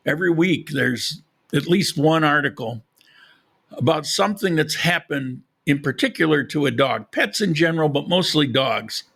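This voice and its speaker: A man's voice: on the high side, vocal fry, fairly bright tone, slightly rough. A man hosting a live podcast, rambling casually with frequent restarts and losing his voice. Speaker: podcast host. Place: lives in Colombia.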